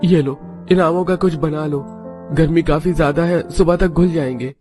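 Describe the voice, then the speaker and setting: A man's voice: a little high, fairly even intonation, moderate pace, a little mellow, faint music behind, pitched above the performer's own voice, lightly acted. A man voicing a struggling street fruit and vegetable hawker in a family story. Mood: weary, dejected.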